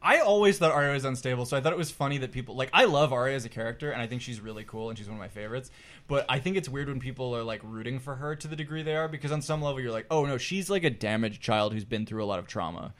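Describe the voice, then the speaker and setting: A man's voice: mid-range voice, racing, fairly bright tone. A man co-hosting a podcast, chatting casually and enthusiastically as a fan in a group discussion about TV. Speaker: podcast co-host.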